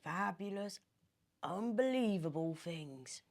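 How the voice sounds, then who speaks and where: A British woman voicing a mysterious, excitable old man in a storybook, speaking in a theatrical, commanding, drawn-out way. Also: mid-range voice, voice pushed up. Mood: urgent, secretive. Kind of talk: animated storybook character reading.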